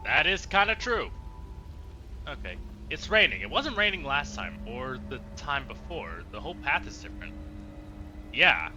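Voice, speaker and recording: A man casually commenting on a game he plays, chatty and excitable, joking and swearing at the characters. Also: medium pitch, moderate pace, music in the background, poor audio. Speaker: let's play host.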